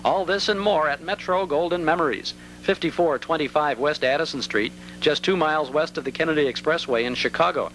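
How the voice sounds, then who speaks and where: A man reading scripted advertising copy as a commercial announcer in a polished, measured way. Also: fairly high pitch, a bit bright, slight background noise, rough recording.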